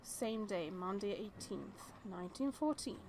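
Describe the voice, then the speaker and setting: A woman's voice: mid-range voice, animated, a little slow, a little mellow, slight background noise. A woman reading visual novel text aloud and adding chatty reactions as she plays. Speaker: let's-play streamer.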